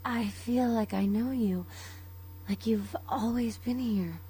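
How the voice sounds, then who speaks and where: A woman's voice: on the high side, takes it slowly, mellow, slight background noise. An actress playing a bewildered, badly injured young woman in a video game, faltering as she speaks. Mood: dazed, bewildered. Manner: faltering, stammering. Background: music.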